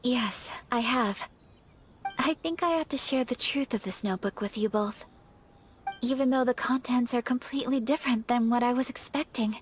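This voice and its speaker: Woman acting a refined, high-voiced young noblewoman. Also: a little hiss or hum, natural voice. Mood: earnest, composed. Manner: polite, formal, soft-spoken, measured. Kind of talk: English game voice acting.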